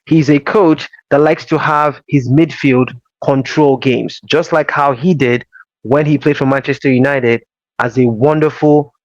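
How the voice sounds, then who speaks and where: A man hosting a casual football show, explaining tactics in a measured, emphatic way. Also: medium pitch, dry recording. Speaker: host. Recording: slightly dull dry audio.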